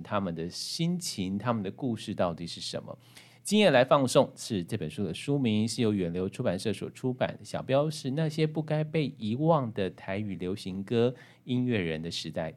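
Male podcast host, deep voice, Taiwanese Mandarin accent, chatty and engaged, addresses listeners directly. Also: a little mellow. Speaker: radio and podcast host, interviewer. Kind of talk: conversational book-show hosting.

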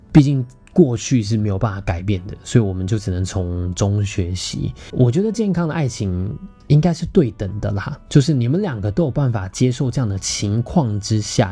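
A man's voice: fairly low pitch, dark tone, slightly rough. A man with a Taiwanese Mandarin accent hosting a podcast, speaking colloquially and earnestly to listeners as he shares personal stories and relationship advice. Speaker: podcast host.

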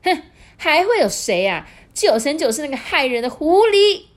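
Shrill, loud, jealous old goddess scolding her husband, big swings up and down, in a storybook voiced by a woman. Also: sing-song, moderate pace. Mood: furious.

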